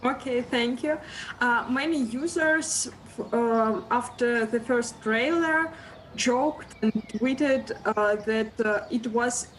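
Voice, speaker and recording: A woman interviewer puts prepared questions to her guests. Her voice is fairly high, and there is faint noise in the recording. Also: slow pace. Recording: noticeable background noise.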